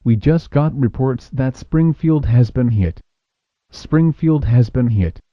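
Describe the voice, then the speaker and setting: A man's voice: mid-range voice, animated, slow pace, no echo. Guy doing weather radio alert bulletin, dull lo-fi audio, no room sound at all. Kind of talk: scripted emergency weather bulletin.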